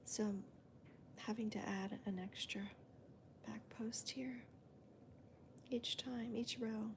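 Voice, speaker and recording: Female crochet host, whispery and gravelly, calm and even, counts stitches aloud. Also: fairly high pitch, slow, slight background noise. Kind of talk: soft-spoken crochet demonstration and chat.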